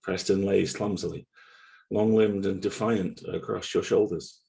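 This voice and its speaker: A man acting a brooding, guilt-haunted narrator in an audio drama read, with a measured, solemn, literary delivery. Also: sits low, little pitch movement, vocal fry, warm tone. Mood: somber, brooding. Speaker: voice actor at a table read.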